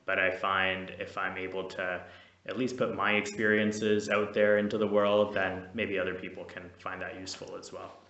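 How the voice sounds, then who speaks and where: Young guy, lowish voice, kinda nervous and rambly, recorded from a bit far off in a roomy space. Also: flat delivery, normal speed, a bit bright, dull audio. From Canada. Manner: nervous, rambling, many fillers and restarts. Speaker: vlogger, veterinary student. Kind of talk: casual to-camera vlog. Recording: echoey room, distant mic.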